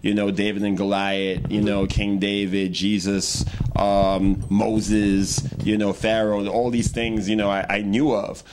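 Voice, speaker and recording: A man's voice: on the low side, little pitch movement, a little slow, few pauses, background noise. A young man telling his story in a casual interview, rambling with many fillers and restarts. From Trinidad and Tobago. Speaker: guest, hip-hop artist.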